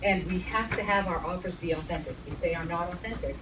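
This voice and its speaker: Animated woman in an echoey room. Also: fairly low pitch, fast, distant mic. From former Soviet Union. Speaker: public speaking trainer. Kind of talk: storytelling talk to a group. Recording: echoey room heard from afar, noisy.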